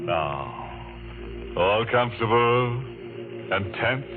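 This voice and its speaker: Slow, morbidly gleeful horror host. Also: male voice, medium pitch, expressive. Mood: sly, ominous. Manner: macabre punning, slow savoring delivery, chuckles. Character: gleefully morbid male horror show host, sly and mocking. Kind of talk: 1940s radio horror hosting. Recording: muffled old radio recording, organ music.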